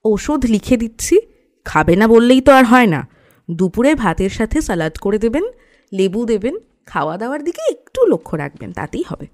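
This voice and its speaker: Brisk woman doctor, lively higher voice, acted. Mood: calm, reassuring. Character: busy young female clinic doctor, brisk, reassuring, a little stern. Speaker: voice actor. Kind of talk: audio story dramatic acting.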